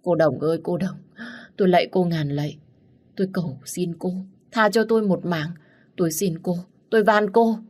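A woman's voice: fairly low pitch, moderate pace, a little mellow, close to an everyday voice. A woman voicing a pitiful old female servant who pleads desperately for mercy, wailing as she begs. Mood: begging.

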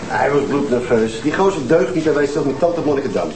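Casting: male actor for sardonic, impulsive young detective in a TV drama. Colloquial, mid-pitched, over noisy sound. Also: fairly quick, lightly acted. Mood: cynical, disgusted. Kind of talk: naturalistic TV crime drama dialogue.

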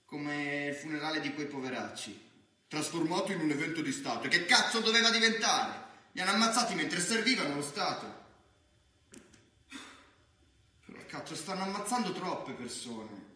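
A man's voice: fairly high pitch, animated, a little fast, sharp tone. Anxious teenage boy, very echoey. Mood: indignant, troubled. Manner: emphatic, colloquial, swearing. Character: anxious, restless teenage boy, streetwise student narrating his evening. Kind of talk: theatrical monologue in colloquial Italian. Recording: echoey theatre stage from far off.

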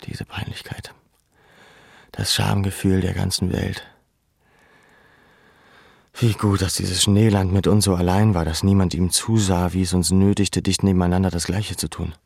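A man looking back on his deportation to a camp. His voice is low and creaky, recorded very dry and close. He narrates in a measured, reflective way, as in a literary audio drama. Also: flat delivery, darkish tone, dry recording, full character voice. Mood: sober, uneasy. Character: male camp survivor soberly recalling his deportation.

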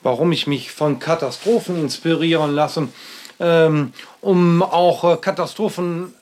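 A man, an artist, telling a rambling personal account in halting speech with frequent fillers and restarts. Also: on the high side, steady tone, darkish tone.

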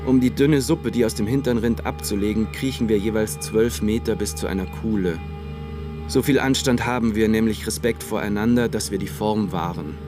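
A man reading as a stranded German soldier in a literary radio play, recounting the war's last days in a measured, matter-of-fact way. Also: on the low side, close to an everyday voice. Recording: music behind the voice. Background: music.

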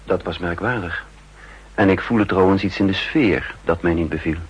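A man narrating in the first person in a 1960s radio spy drama, measured and guarded. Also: low-pitched, slightly rough. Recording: dull lo-fi 1960s radio recording.

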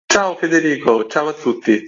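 A man's voice: on the high side, lively intonation, normal speed, slightly rough. A middle-aged man explaining a programme as a radio interview guest, chatty and full of filler words. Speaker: guest, film festival organizer. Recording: slightly dull, lo-fi audio.

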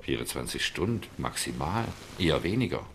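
An actor playing a brooding detective in a radio play, narrating and talking through a case. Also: male voice, rumbling low, big pitch swings, a little fast, slight background noise, exaggerated voice. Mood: pondering. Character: brooding male detective reviewing a murder case.

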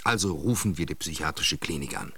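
A man's voice: a bass voice, expressive, fairly quick, bright, forceful tone, breathy edge, no room sound, full character voice. An actor playing a troubled ex-soldier who suspects he is being watched, in a radio drama. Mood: anxious.

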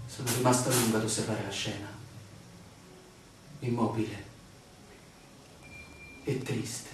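An actor playing a weary, bewildered male stranger telling his story with deliberate theatrical emphasis in a radio play. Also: lowish voice, steady tone, lightly acted. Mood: weary, absorbed recounting. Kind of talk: theatrical radio drama monologue. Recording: very echoey, distant, noisy radio play recording.

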